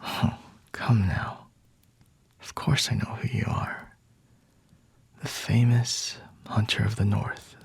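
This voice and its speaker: Smug vampire lord, played by a man, low and husky, half-whispered, unhurried and teasing, for intimate ASMR roleplay. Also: little pitch movement, no room sound, heavily acted. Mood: mocking, amused. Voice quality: breathy, husky, often whispering. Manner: unhurried, teasing, condescending, refined wording.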